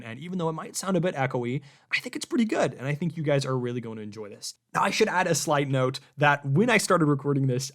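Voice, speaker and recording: A young adult man hosting a casual podcast, upbeat and chatty, a little self-deprecating, recorded in an echoey room on a home mic. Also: medium pitch. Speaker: podcast host.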